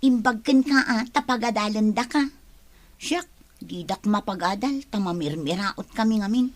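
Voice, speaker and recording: A woman's voice: medium pitch, moderate pace, a little hiss or hum, close to an everyday voice. A woman playing a determined, somewhat plaintive young village woman in an audio drama. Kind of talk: dialogue in an amateur audio drama.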